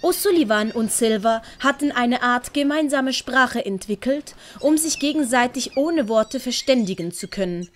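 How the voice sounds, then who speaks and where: A woman's voice: a little high, animated, moderate pace, few pauses, slight background noise. A woman with a Swiss German accent reading a scripted children's story as narrator. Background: dramatic music and sound effects.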